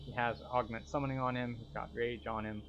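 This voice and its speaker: A man's voice: mid-range voice, level tone, fairly quick, lo-fi sound, noisy background. A man giving casual, explanatory commentary as a tour guide through a video game.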